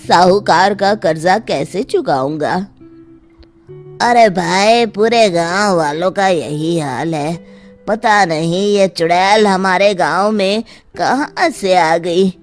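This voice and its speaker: A woman's voice: on the low side, takes it slowly, faint music behind. A woman voicing an anxious male village farmer in a Hindi cartoon, lamenting his troubles. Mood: worried. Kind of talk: cartoon voice acting.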